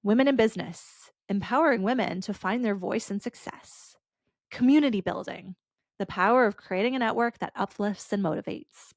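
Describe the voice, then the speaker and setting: A woman's voice: medium pitch, moderate pace, no echo. A woman hosting a solo podcast, talking casually with lots of "like" fillers, her voice stuffy and congested. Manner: chatty, casual, with frequent "like" fillers. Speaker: podcast host.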